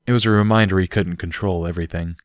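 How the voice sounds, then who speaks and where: A man's voice: deep voice, steady tone, quick pace, no echo, clean studio sound. A man reading a story aloud as scripted audiobook narration. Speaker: audiobook reader.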